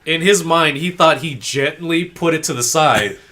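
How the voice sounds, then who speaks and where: A man's voice: fairly high pitch, normal speed, sharp tone. A man hosting a podcast, casually telling an anecdote with plenty of quoted dialogue and swearing. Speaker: podcast host.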